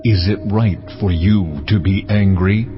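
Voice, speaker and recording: An actor playing an authoritative male divine voice in dramatized scripture, calm and deliberate. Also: low-pitched. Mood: calm, questioning. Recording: dull band-limited audio under clear music.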